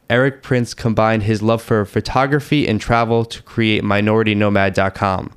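A man from Boston hosting a podcast, chatting in an upbeat, casual way with quick asides. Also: on the low side, smooth. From Boston, USA. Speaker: podcast host.

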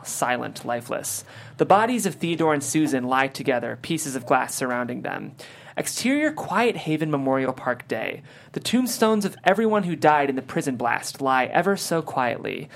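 A man's voice: fairly high pitch, gravelly. A man hosting a script read and narrating stage directions.

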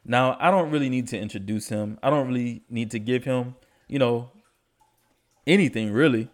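A young man hosting a casual sports podcast, stuffy from allergies, talking in a laid-back, slangy way. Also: medium pitch. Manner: laid-back, slangy, enthusiastic about stats. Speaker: podcast host.

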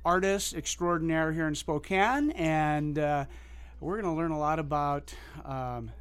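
A man's voice: a little high, unhurried, clear, resonant tone. A man with an American accent, casually asking questions as an interview host.

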